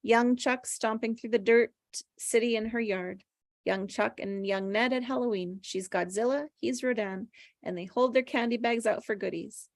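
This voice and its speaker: A woman's voice: fairly high pitch, moderate pace, no echo, studio quality. A woman reading stage directions as scripted narration at a table read.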